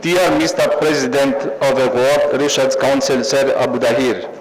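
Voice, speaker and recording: A man from Europe gives a formal, ceremonious acceptance speech as an award recipient. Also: a little high. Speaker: award recipient, health researcher. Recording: echoey hall, faint noise.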